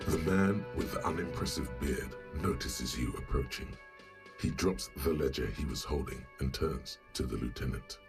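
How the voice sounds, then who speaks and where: Very deep inner-voice narrator. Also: male voice, gravelly, music in the background. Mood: solemn, observant. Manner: deliberate, literary, knowing. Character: grave male narrator, inner voice of a detective's mind. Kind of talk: scripted video game narration.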